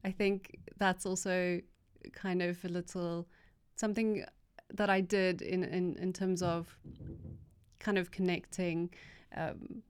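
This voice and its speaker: Slow, smooth-voiced woman. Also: on the low side, flat delivery. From South Africa. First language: Afrikaans. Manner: halting, many fillers and restarts. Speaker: visiting artist, interview guest. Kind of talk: casual interview answers in English.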